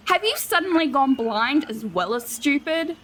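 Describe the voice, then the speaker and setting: A woman as a high-voiced sarcastic rogue. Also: animated, normal speed, a crowd in the background. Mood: exasperated, sarcastic. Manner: sarcastic, scolding. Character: sharp-eyed, exasperated, sarcastic female fantasy rogue. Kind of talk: fantasy comedy audio drama acting.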